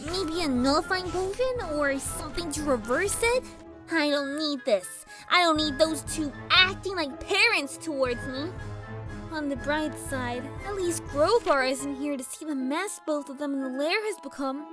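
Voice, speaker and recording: A woman voicing a grumpy, scheming young filly with a bright, girlish voice and a sarcastic, exasperated manner in a cartoon fan drama. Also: high-pitched, a little slow, music in the background. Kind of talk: fan fiction audio drama acting.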